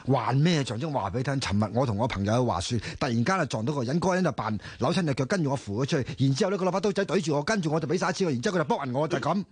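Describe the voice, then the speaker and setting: Fast-talking exasperated male patient, Hong Kong Cantonese. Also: mid-range voice, animated, breathy edge, no echo. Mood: impatient. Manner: blunt, argumentative. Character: impatient, exasperated male hospital patient insisting on his identity. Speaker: voice actor in radio drama. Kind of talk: radio drama acting.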